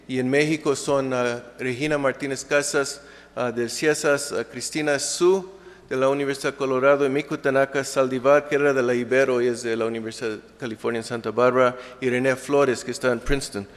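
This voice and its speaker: A man's voice: medium pitch, fairly even intonation, fairly quick. A man giving an academic conference talk in a language that is not his first, with frequent fillers and restarts. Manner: hesitant, many fillers and restarts; speaking a second language. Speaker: conference speaker. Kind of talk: academic presentation. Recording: reverberant lecture hall.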